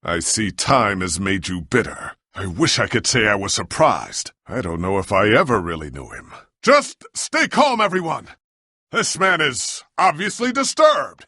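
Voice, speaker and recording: An actor playing a proud warrior hero in a video game, with a pompous, theatrical, commanding way of speaking. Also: male voice, on the low side, sing-song, measured pace, bright, forceful tone, no echo, studio quality, full character voice. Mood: grave, subdued. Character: proud, grandiose warrior hero, an adult man. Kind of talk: dramatic game dialogue and heroic speeches.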